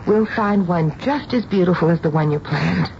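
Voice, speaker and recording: A woman's voice: lowish voice, creaky. Kindly, firm mother, dull recording. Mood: earnest, placating. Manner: earnest, measured, soothing. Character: kindly, firm middle-aged mother, reassuring and practical. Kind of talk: radio drama acting and first-person narration. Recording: dull, noisy old radio recording.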